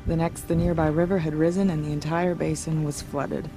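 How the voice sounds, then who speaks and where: Low, creaky, melancholy tribeswoman. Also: flat delivery, fairly quick, over music, rough recording. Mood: resigned. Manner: somber, reflective. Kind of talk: video game character acting.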